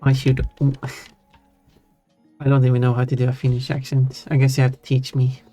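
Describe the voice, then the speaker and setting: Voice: medium pitch, monotone, normal speed, warm tone. A live streamer chatting casually while drawing, rambling and correcting themself with small giggles. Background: faint background music.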